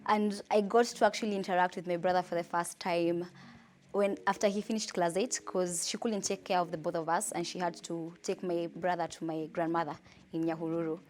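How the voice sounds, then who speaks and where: A young Kenyan woman with a Kenyan accent, telling her life story in a chatty, animated interview. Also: fairly low pitch, brisk, slight background noise. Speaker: entrepreneur.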